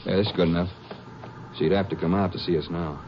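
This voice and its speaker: An actor playing a skeptical, loyal Ranger sidekick in a 1950s radio detective drama, questioning and folksy. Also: male voice, low-pitched, steady tone, quick pace. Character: skeptical, loyal male Ranger sidekick, plain-talking lawman. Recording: dull, noisy vintage radio recording.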